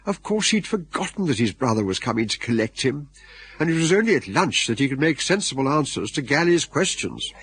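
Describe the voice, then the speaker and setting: A man with an English accent and a husky voice, playing a wry storyteller narrating a radio comedy drama. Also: medium pitch, big pitch swings, brisk, a bit bright, heavily acted. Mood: wry, amused. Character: wry storyteller describing a comic English aristocrat. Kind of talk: scripted literary narration.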